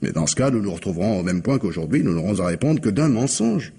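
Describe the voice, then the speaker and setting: An actor playing a scheming, persuasive husband in a French radio drama, with an accent from France and an insistent, coaxing way of reasoning. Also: male voice, fairly low pitch, big pitch swings, fast, dark tone, husky, heavily acted. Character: scheming, persuasive husband desperate to save his home.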